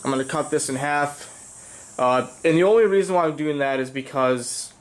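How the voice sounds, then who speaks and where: Congested young man with a cold. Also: medium pitch. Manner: casual, rambling, many fillers. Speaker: vlogger. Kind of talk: casual how-to vlog.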